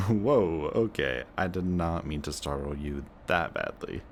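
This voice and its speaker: A very deep, slow-talking young man acting as a sardonic villain from an audio drama. He is cocky and teasing, with muttered casual asides and a kinder streak underneath. Faint noise sits behind the voice. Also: lively intonation, heavily acted. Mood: amused, teasing. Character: cocky male antihero villain, sarcastic, teasing, secretly kind.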